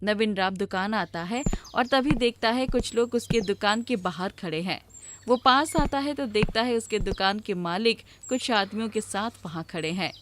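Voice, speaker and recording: A woman's voice: medium pitch, moderate pace, noisy background. A woman reading scripted storybook narration as the storyteller.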